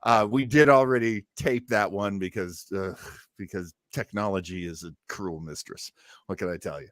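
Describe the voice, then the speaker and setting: A man's voice: fairly low pitch, normal speed, no room sound, studio quality. A man hosting a casual chat, talking in a jokey, theatrical and effusive way. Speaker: host.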